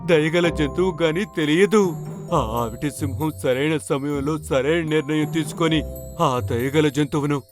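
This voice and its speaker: A man voicing a wise old bear in a children's cartoon fable, telling things in a measured storytelling manner. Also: fairly high pitch, music in the background, close to an everyday voice. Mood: solemn, explanatory. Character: wise old bear, elder of the forest animals. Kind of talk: animated children's story acting.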